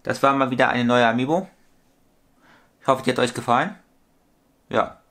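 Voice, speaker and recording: A man's voice: mid-range voice, normal speed, fairly bright tone. Rambling man in his thirties, home vlog. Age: 30s. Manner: rambling, repetitive, corrects his own sums aloud. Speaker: toy collector vlogger. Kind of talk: casual vlog monologue. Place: small room at home with shelves.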